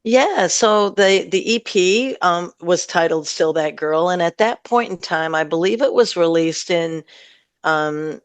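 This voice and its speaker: A woman's voice: on the low side, expressive, unhurried, no echo. A woman with an American Midwest accent talks in a casual interview as a songwriter guest. She is reflective and lets her sentences wander as she thinks. The sound is dry, with very little room in it. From northern Michigan, USA. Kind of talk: casual radio interview chat.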